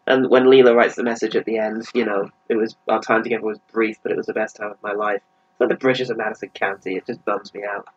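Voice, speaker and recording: A man's voice: fairly low pitch, level tone, fast, slightly rough. A man speaking as a podcast guest from home, in casual, reflective discussion. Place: at the family home. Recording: dull, lo-fi remote audio fault.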